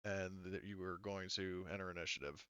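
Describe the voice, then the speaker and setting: A man's voice: deep voice, fairly even intonation, normal speed, bright, forceful tone, smooth, no echo, lo-fi sound. A man acting as game master of a tabletop sci-fi roleplaying game, improvising and thinking aloud in a casual way.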